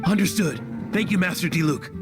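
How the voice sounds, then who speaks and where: A man's voice: sits high, animated, a little fast, music in the background. An actor playing an earnest, agitated male city guard in a video game, urgent and deferential. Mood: urgent, respectful. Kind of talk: animated game voice acting.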